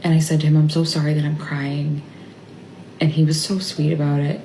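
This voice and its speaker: A mother speaking in a halting, tearful confessional, often trailing off and dropping to a whisper. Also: low-pitched, flat delivery, warm tone. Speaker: mother sharing a personal update. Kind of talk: emotional confessional monologue. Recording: echoey room with faint ambient noise.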